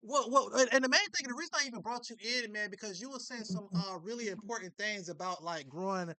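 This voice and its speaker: Man, high voice, bright and sharp, dry recording, casual slangy interviewer, halting with repeated words.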